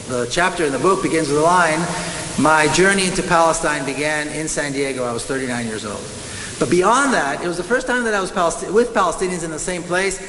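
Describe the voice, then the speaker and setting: A middle-aged man with an Israeli accent delivers an emphatic speech at a conference, drawing out the words he wants to stress. His voice sits a little high for a man. The sound rings through a large, echoing hall with a faint hiss underneath. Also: fairly bright tone. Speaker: speaker at a conference. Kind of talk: impassioned public speech.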